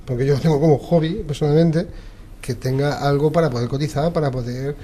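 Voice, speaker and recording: A man's voice: on the high side, fairly even intonation, a little fast, mellow. A middle-aged man with an accent from Spain speaking as a debate guest, hesitating and repeating himself. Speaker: football coach, debate guest. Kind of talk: spontaneous panel debate. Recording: clear background noise.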